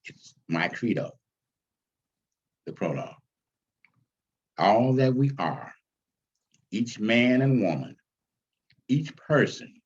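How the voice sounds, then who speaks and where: A man reading his own stage script aloud as its author, with deliberate, dramatic phrasing. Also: mid-range voice, a little mellow, muffled recording.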